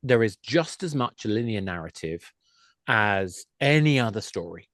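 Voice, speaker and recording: A man's voice: lowish voice, expressive, normal speed, a bit bright, no room sound, clean studio sound. A man speaking as an expert guest in a panel discussion, explaining things emphatically and often breaking off to rephrase himself. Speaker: guest, games industry expert.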